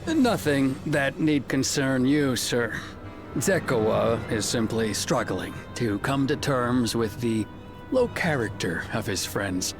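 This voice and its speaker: An actor playing a smug, condescending deputy chief of frontier lawmen in a video game, speaking in a measured, formal way. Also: male voice, mid-range voice, lively intonation, over music. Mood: smooth, dismissive.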